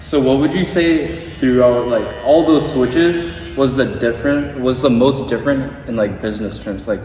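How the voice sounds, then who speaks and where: A man's voice: medium pitch, moderate pace, a little mellow, soft background music. A young man hosting a podcast while cutting hair, asking loose, rambling questions full of "like". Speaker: podcast host and barber. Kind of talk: casual interview. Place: barbershop. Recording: echoey room, distant mic, faint noise.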